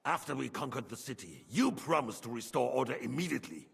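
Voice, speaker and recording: A man playing an imperious, impatient king in a video game, husky and creaky, demanding answers. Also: mid-range voice, very animated, quick pace, exaggerated voice. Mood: angry, demanding. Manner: demanding, sharp interrogation. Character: imperious, impatient king berating his officials. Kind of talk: video game drama acting. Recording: long hall reverb.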